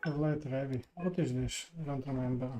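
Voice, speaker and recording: Male game streamer, casual and halting, muttered short remarks, game music under the voice. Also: medium pitch, fairly even intonation, dark tone. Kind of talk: casual gameplay commentary. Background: video game music.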